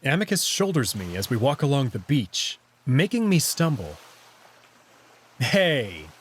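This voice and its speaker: A man's voice: mid-range voice, sing-song, normal speed, husky. A man reading first-person story narration aloud in a scripted visual novel style.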